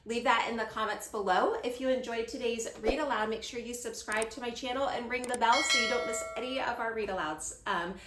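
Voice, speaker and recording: A woman's voice: a little high, a little fast, few pauses, very noisy. A woman hosting a children's storytime as a teacher, reading a picture book aloud. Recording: roomy, echoing sound.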